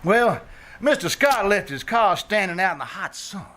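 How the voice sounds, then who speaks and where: A man's voice: high voice, expressive, normal speed, sharp tone. A man playing an exasperated, sarcastic bandleader husband with a folksy, wisecracking manner in a 1940s-style radio sitcom. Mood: bluffing. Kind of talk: 1940s radio sitcom acting, live stage re-creation. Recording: live theater stage, faint noise.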